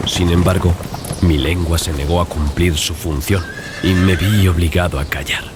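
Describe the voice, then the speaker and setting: Low-voiced male horror narrator over ambience. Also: fairly even intonation, moderate pace. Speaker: audiobook narrator. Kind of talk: scripted dramatic horror story narration. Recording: clear background ambience and noise. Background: sound-design ambience.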